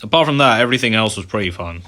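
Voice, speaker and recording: Bright, lowish-voiced man commenting casually on gameplay. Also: lively intonation, racing. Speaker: gameplay video creator.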